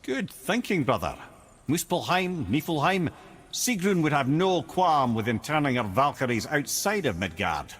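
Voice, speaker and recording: An actor playing a chatty, witty old advisor in a video game, a wry storyteller. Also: male voice, a little high, very animated, measured pace. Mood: encouraging. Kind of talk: video game dramatic acting.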